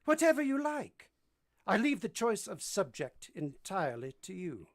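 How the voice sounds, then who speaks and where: A woman playing a brusque, moody gentleman master in a vintage-style radio drama, commanding and teasing as they question. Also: medium pitch, big pitch swings, normal speed. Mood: brusque, insistent. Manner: imperious, teasing, theatrical questioning.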